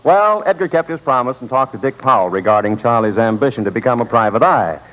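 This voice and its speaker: A man's voice: medium pitch, lively intonation, fast, fairly bright tone, faint music behind. An American man reading scripted ads and introductions as a 1940s radio announcer. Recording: dull 1940s radio broadcast.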